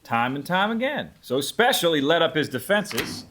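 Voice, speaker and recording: A cocky male bounty hunter, acted by a man at a script reading. His voice sits a little high and swings widely in pitch. He phrases things in a folksy, taunting way, full of menace. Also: big pitch swings, normal speed, fairly bright tone. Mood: sly storytelling. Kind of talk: screenplay table read. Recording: live table read.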